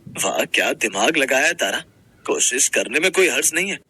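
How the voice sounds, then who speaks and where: A man playing a simple, humble working husband, gentle, earnest and a little hesitant, in a Hindi moral-story cartoon. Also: medium pitch, very animated, normal speed, breathy edge, dry recording, heavily acted. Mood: pleased, gentle.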